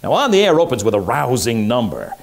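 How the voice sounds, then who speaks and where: An actor playing a slick, wisecracking 1940s radio private eye, pitching in brash, slangy tough-guy patter. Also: wildly swooping pitch, brisk, vocal fry. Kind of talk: hard-boiled promo narration in old-time radio style. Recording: music under the voice. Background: music.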